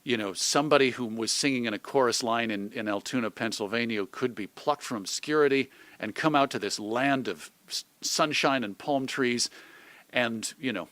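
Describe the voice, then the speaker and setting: A middle-aged American man talking as an interview guest in an animated, thoughtful, digressive conversational way. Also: mid-range voice, moderate pace, no room sound. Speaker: interview guest, magazine publisher and radio host.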